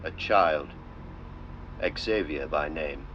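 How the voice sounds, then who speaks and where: A man narrating a script in an old-style documentary manner, with measured, solemn, deliberate phrasing. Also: very deep voice. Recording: dull lo-fi sound with clear noise.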